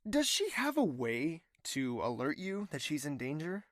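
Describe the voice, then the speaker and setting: A man's voice: on the high side, huge pitch swings, measured pace, no echo, studio quality. A man playing a lovesick, stubborn young prince in an audio drama, earnest, formal and melodramatic. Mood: authoritative, probing.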